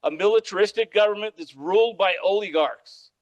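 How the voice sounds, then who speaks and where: A man lecturing puts on a scheming aristocrat plotting to return with an army, talking briskly and plainly. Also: sits high, dull audio, close to an everyday voice.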